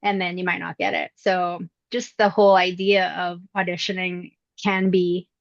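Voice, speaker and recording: A middle-aged woman whose voice still sounds youthful answers interview questions in a relaxed, reflective way, often pausing on fillers. The recording is dry and close, with a slightly dull, lo-fi tone. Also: on the low side, vocal fry, no room sound. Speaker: voice actress, interview guest. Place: indoors at her mother's home.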